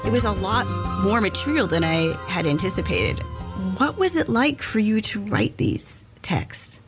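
A woman's voice: mid-range voice, big pitch swings, measured pace, music in the background. A woman interviewing a young writer, asking encouraging questions and voicing her admiration.

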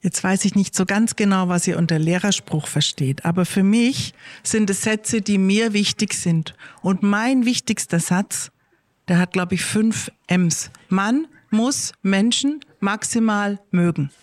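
A middle-aged woman, a school head, calmly answering pupils' questions and explaining things slowly and clearly for children. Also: medium pitch, dry recording, studio quality. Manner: slow, careful, clear wording for kids.

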